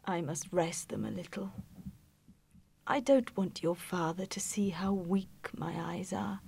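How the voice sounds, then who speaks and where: A woman voicing a weary, gentle, grieving poor mother in a storybook, with a hushed, whispery voice. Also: on the low side, lively intonation, a little slow, heavily acted. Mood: tired, gentle. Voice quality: breathy, whispery. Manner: quiet, hushed.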